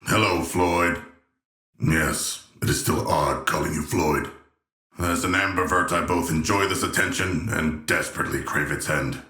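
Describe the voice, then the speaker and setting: An actor voicing a hulking humanoid shark villain in a video game, erudite and gentle yet proud, speaking in formal, measured, deadpan phrases. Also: male voice, a bass voice, roomy sound, heavily acted. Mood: dry, aloof. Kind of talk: video game character voice lines.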